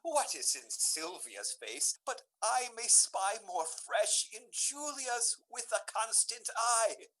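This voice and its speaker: A man's voice: sits high, very animated, slow pace, hardly any pauses, pushed and bright, exaggerated voice, poor audio. An actor playing an ardent, faithless young male lover in a Shakespeare radio drama, speaking verse theatrically. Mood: awed, ardent.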